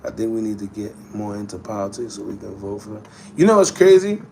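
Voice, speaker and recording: A man's voice: fairly low pitch, very animated, fast talker, creaky, a little mellow, a little hiss or hum. A man in his thirties hosting a casual livestream chat, talking in slangy, rambling street speech and answering viewer comments. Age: 30s. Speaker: host.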